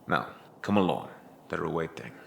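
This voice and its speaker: An actor playing a gruff, dry and knowing police chief in a dark fantasy audio drama, curt and condescending. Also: male voice, rumbling low, very animated, moderate pace, heavy background noise, full character voice.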